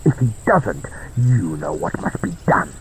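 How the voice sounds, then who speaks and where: A man's voice: low-pitched, very animated, measured pace, background noise, exaggerated voice. An actor playing a stern male superior giving clipped orders by radio. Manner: clipped, authoritative. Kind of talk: audio drama acting.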